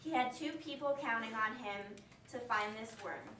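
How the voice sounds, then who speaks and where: A woman's voice: on the high side, moderate pace, sharp tone. A woman reading scripted story narration aloud for an amateur puppet show. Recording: echoey room, distant mic, faint noise.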